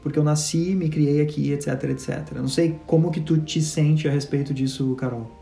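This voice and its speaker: Man co-host, mellow and dark, reflective, echoey room. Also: fairly high pitch, fairly even intonation, brisk, creaky. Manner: reflective, talks in long stretches. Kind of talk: casual conversational chat. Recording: echoey room with faint music.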